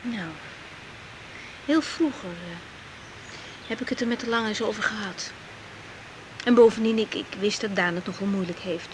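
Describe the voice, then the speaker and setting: A woman's voice: mid-range voice, moderate pace, long pauses, noisy background, close to an everyday voice. An actress playing an earnest, open young woman doctor in a naturalistic radio drama. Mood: confiding.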